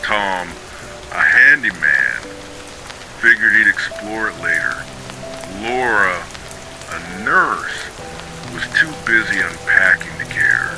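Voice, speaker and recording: A man narrating scripted horror stories meant for sleep, in a measured, somber storytelling manner. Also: low-pitched, poor audio.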